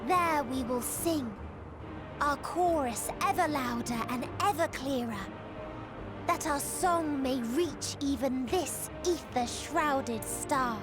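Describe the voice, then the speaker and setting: High, slow, sorrowful alien female. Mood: solemn, ominous. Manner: solemn, slow, lyrical declaiming. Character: sorrowful female alien singer of despair, hive-minded. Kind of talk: dramatic video game acting. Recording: music behind the voice.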